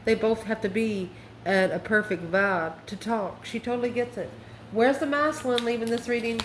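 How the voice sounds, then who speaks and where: A woman's voice: a little high, moderate pace. A woman giving an informal tarot reading as host, talking in a folksy, emphatic way and addressing listeners with endearments. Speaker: tarot card reader and channel host. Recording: roomy echo, faint background noise.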